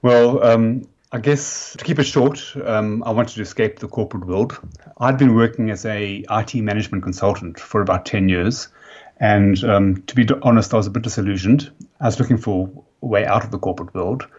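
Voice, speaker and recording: Deep-voiced South African man, halting. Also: darkish tone, slightly rough. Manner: halting, many fillers and restarts. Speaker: company founder, guest. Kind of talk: podcast interview. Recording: dull lo-fi sound.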